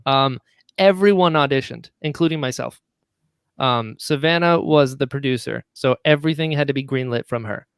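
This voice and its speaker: A man's voice: medium pitch, moderate pace, clear, resonant tone, dry recording, clean studio sound. A man chatting casually as an interview guest, enthusiastic and talkative, often chuckling as he goes. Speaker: guest, audio drama writer and actor.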